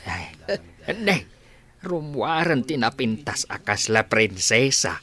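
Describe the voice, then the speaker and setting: A man playing a fretful, doddering old grandfather in an Ilocano radio drama, halting and muttering between phrases. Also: mid-range voice, very animated, bright, forceful tone, exaggerated voice. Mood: teasing.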